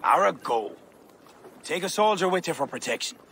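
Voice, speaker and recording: A man's voice: fairly high pitch, expressive, moderate pace, fairly bright tone, a little hiss or hum. An actor with an Irish accent playing a proud, suspicious warrior king, grandiose and imperious, in a game cutscene. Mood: brooding, suspicious. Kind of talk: dramatic game cutscene dialogue.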